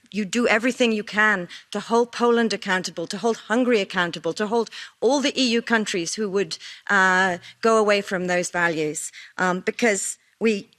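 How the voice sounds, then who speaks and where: A woman's voice: mid-range voice, fairly bright tone. Earnest woman making an emphatic appeal. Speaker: civil society panelist. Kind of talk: formal hearing statement.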